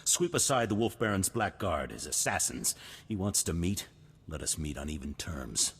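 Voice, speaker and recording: An actor playing a noble, resolute duke in a video game, husky, formal and stately in delivery. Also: male voice, sits low, big pitch swings, moderate pace, fairly bright tone, exaggerated voice. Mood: solemn, stirring. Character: noble, resolute older duke, solemn war leader. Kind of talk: cinematic video game voice acting. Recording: long hall reverb, music behind.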